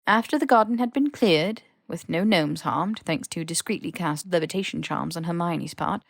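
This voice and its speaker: A woman's voice: fairly low pitch, moderate pace, vocal fry, dry recording, studio quality. A woman reading scripted fan fiction as an audiobook narrator. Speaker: podfic narrator.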